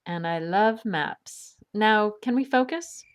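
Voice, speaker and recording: Woman playing a dry, sensible female adventurer, measured. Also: mid-range voice, animated, clear, resonant tone. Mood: thoughtful, focused. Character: sensible, bookish woman adventurer, dryly skeptical. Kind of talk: audio drama acting.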